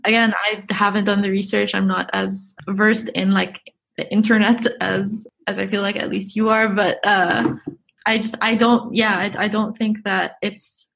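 A woman's voice: medium pitch, flat delivery, moderate pace, creaky, fairly bright tone, a little hiss or hum. A young woman from Egypt, a guest chatting casually in an interview, talking with many fillers and restarts. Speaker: guest, YouTuber.

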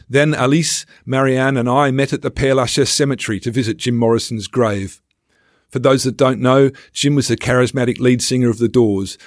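A man reading a scripted first-person travel memoir as a podcast host, with wry, self-deprecating, measured storytelling in a studio on a close microphone. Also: mid-range voice, no echo.

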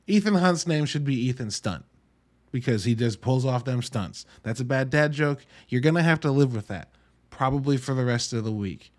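A man hosting a podcast, giving an informal, wry solo review in an emphatic, halting way with drawn-out words. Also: medium pitch, animated. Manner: informal, wry, mildly profane. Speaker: podcast host reviewing films. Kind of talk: casual solo review monologue.

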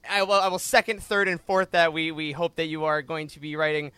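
A man's voice: on the high side, fairly even intonation, brisk, pushed and bright, smooth, dry recording. Dry-sounding man hosting a podcast. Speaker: podcast host. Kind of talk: casual interview hosting.

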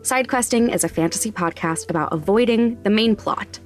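A woman's voice: mid-range voice, fairly quick. A woman reading scripted credits and announcements as the creator of a podcast. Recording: music underneath.

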